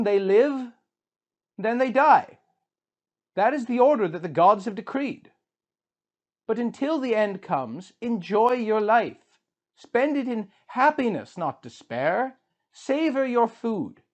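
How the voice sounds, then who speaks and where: A wise tavern-keeper woman voiced by a man speaks in a raised, high pitch. She counsels with slow, solemn deliberation, like a figure in an old storybook epic. The sound is slightly dull. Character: wise, measured female tavern keeper giving gentle counsel.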